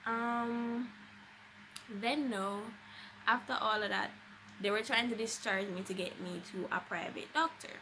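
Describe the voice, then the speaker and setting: A woman's voice: medium pitch, fairly even intonation, a little slow, noisy background. A young adult woman with a Jamaican accent, chatting casually and expressively as a vlogger telling a personal story, with emphatic conversational delivery.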